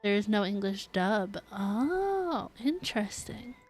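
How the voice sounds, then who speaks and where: A woman's voice: mid-range voice, big pitch swings, slow, over music. A woman game streamer chatting casually and informally, thinking aloud as she talks to her viewers. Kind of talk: casual livestream chat.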